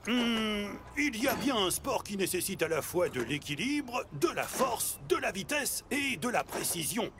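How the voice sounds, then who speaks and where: An excitable cartoon truck with a very high, swooping voice. The delivery is theatrical and exaggerated, made for small children, with loud music underneath. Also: male voice, a little slow, hardly any pauses, heavily acted. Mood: playful, encouraging.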